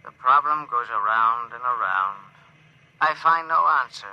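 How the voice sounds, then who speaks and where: A stiff, formal alien officer. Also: male voice, medium pitch, animated, muffled recording. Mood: somber. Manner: stiff, formal, halting phrasing. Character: stilted polite male alien ship officer. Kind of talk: 1950s radio drama acting.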